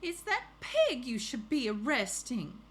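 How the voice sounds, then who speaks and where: A woman's voice: high-pitched, wildly swooping pitch, measured pace, a little hiss or hum, voice pushed up, full character voice. A woman voicing a crabby, suspicious neighbor with a Southern US accent in a storybook. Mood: grumpy, suspicious. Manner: grumpy, disapproving.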